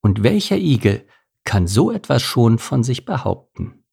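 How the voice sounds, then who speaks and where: A man's voice: medium pitch, sing-song, a little slow, vocal fry. A man voicing an easygoing, slightly fussy male hedgehog in a children's story, mild and deliberating. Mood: quietly pleased.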